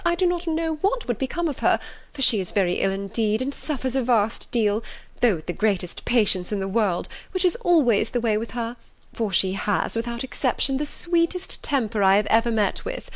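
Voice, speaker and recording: A woman's voice: sits high, no room sound, voice pushed up. A woman voicing a fussy, gushing middle-aged mother in an audiobook, effusive, emphatic and easily offended. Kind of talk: audiobook character voice.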